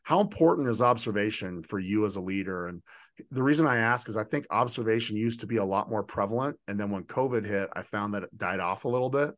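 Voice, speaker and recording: Low-pitched male podcast host, enthusiastic. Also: lively intonation, fast talker, fairly bright tone. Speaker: podcast host and leadership coach. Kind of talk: conversational interview.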